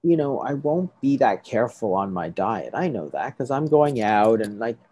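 A man's voice: medium pitch, sing-song, moderate pace, a little mellow, breathy edge. A middle-aged man, a doctor, explaining things to an interviewer, often restarting and checking with "right?". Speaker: doctor treating patients.

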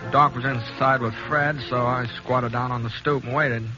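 A man acts out a laconic Western drifter in an old radio play, plain-spoken and steady, with a folksy, understated delivery. The sound is a dull 1950s broadcast recording with music clearly behind him. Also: mid-range voice, moderate pace, close to an everyday voice. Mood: understated, narrating. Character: laconic, steady Old West drifter gunslinger narrating his tale. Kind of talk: 1950s Western radio drama acting and first-person narration.

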